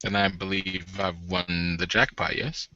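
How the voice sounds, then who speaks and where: A nervous young warrior bridegroom, acted by a man at a game table, polite and halting. The voice is sharp and forward, with slow, careful pacing, on a slightly muffled recording. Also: deep voice, level tone, no echo. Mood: shyly courtly. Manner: halting, formal, earnest. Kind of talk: improvised tabletop roleplay.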